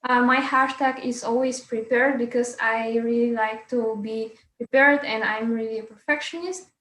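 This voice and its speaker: A young woman introduces herself in a fairly high voice. The recording rings with the echo of a bare room. She keeps it brief and to the point. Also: fairly even intonation, normal speed, a bit bright. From Bulgaria. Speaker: student. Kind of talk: self-introduction in an online workshop. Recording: echoey room.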